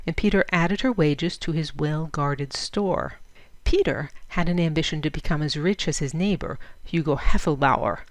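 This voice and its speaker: A woman narrating a literary story in a measured, expressive storytelling manner. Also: fairly low pitch, dry recording. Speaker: audiobook reader.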